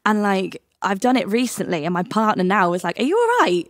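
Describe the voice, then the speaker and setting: A woman's voice: medium pitch, fairly quick, no room sound, studio quality. A woman, a singer, candidly telling a personal story in conversation and acting out the dialogue. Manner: animated, acts out quoted dialogue. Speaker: podcast guest, singer.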